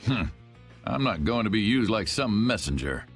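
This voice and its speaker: A man playing a proud, grumbling guild leader with a gravelly voice in an anime game dub. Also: fairly low pitch, very animated, moderate pace, soft background music.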